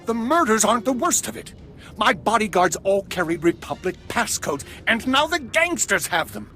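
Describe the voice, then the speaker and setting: An actor playing an anxious, pleading diplomatic minister in a video game, agitated and dramatic. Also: male voice, high-pitched, big pitch swings, moderate pace, sharp tone, faint music behind, heavily acted. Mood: alarmed. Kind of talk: video game character dialogue.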